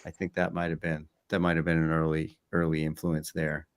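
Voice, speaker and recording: A man talking casually as an interview guest, halting and circling back to repeat his phrases. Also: rumbling low, monotone.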